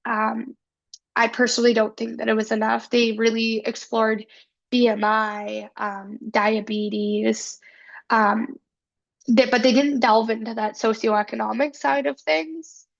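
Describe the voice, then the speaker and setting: Woman, has a cold, gravelly, fairly high voice, casual presentation, muffled recording. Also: level tone, a little slow. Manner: casual, filler-heavy, earnest. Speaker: student presenter. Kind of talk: academic presentation.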